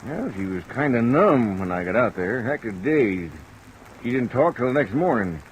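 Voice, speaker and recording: A man's voice: mid-range voice, big pitch swings, moderate pace, slight background noise, close to an everyday voice, rough recording. An actor playing a folksy, easygoing small-town Western lawman, rustic and plain-spoken, in an old-time radio drama. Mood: thoughtful, affable. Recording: old radio recording under light rain.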